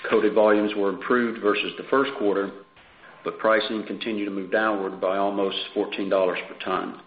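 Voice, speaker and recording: A man presenting prepared financial results as a company executive in a measured, businesslike way on a conference call. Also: on the low side, steady tone. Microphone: conference call line. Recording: dull, echoey conference call with faint noise.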